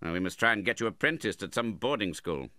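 A man's voice: fairly low pitch, animated, fast, pushed and bright, dry recording, clean studio sound. A man voicing a stern, miserly old uncle in a storybook, contemptuous and impatient. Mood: stern, brusque. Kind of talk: dramatised classic novel reading.

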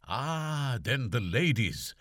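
A man's voice: mid-range voice, big pitch swings, slow pace, fairly bright tone, dry recording, studio quality, pitched above the performer's own voice. A man voicing a cautious manservant, deferential and wheedling, in an audiobook. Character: cautious Irish male manservant. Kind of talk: audiobook character voice.

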